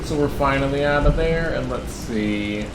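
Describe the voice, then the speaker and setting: A man's voice: medium pitch, moderate pace, very noisy. A man giving casual, chatty gameplay commentary with playful, rambling asides. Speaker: gamer, video host. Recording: roomy voice over loud music.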